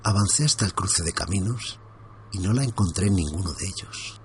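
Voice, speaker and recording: Low-voiced guy narrating a creepy story, tense and hushed, bit of faint noise behind. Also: normal speed. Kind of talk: first-person horror story reading.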